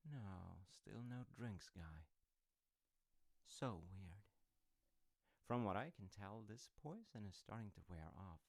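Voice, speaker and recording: A man playing a sly, teasing secret agent turncoat in an intimate audio roleplay, speaking in coy, halting phrases with hushed asides and a falsely innocent menace. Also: on the low side, animated, vocal fry, no echo, exaggerated voice. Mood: calculating, musing.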